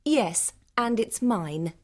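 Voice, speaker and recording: A woman's voice: mid-range voice, lively intonation, takes it slowly, darkish tone. An actress playing an arrogant, argumentative woman in an audiobook story. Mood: arrogant, indignant. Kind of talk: audiobook dialogue acting.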